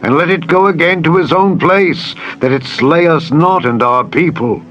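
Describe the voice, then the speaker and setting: A man's voice: a little high, moderate pace, fairly bright tone, slight background noise, pitched above the performer's own voice, natural voice. A man voicing frightened ancient townsmen in dramatized scripture, crying out urgently in dread. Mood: urgent pleading. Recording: dull lo-fi audio with music.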